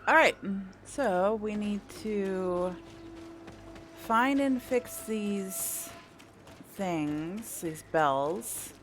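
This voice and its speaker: A woman's voice: lowish voice, slight background noise. A woman giving casual, blunt commentary while playing a video game. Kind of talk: casual let's play commentary.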